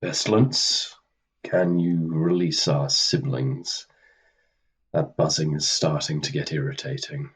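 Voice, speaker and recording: A very deep-voiced Death speaks slowly in a radio play performed by an actor. The figure is calm and weary, with measured, formal, deadpan phrasing that carries quiet authority.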